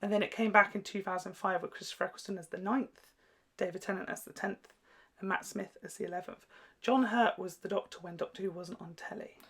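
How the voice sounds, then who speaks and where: A woman hosting a TV reaction video, chatting casually and explaining things in an animated, giggly way. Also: mid-range voice, fairly quick, husky.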